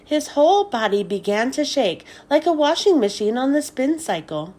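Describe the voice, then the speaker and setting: A woman reading a children's story aloud as scripted narration, with expressive, deliberate delivery. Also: high-pitched. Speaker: children's book read-aloud host.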